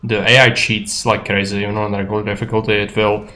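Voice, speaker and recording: A man's voice: fairly low pitch, little pitch movement, fast talker, sharp tone. A man with a Czech accent casually commenting on his own gameplay as a streamer, musing aloud in a blunt, matter-of-fact way. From Czech Republic.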